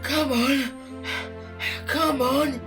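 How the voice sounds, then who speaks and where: A woman's voice: high-pitched, fairly even intonation, extremely slow, music in the background, voice pushed up. A woman voicing an eager, anxious but brave male steam engine in a children's storybook, exclaiming with effort. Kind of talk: children's storybook read-aloud.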